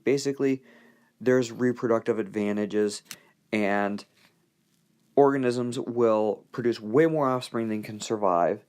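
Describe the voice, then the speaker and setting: A man teaching an informal recorded lesson, talking in a folksy, conversational way with playful asides. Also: on the low side. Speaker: biology teacher.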